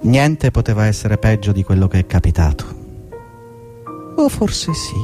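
An actor playing a bitter, desperate older employee who has been fired, in a theatrical radio drama, husky and agitated, swinging from muttering to outbursts. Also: male voice, on the low side, lively intonation, measured pace, music in the background.

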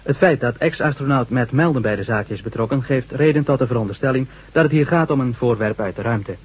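Husky male voice, mid pitch, measured news announcer played by an actor, muffled vintage radio. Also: natural voice. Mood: formal, measured. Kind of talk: scripted news reading in a radio play. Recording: dull vintage 1970s radio recording.

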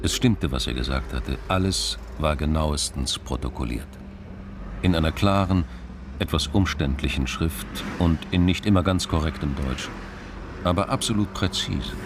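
An actor narrating a German crime radio play as a sober, measured male storyteller. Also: a bass voice, background noise.

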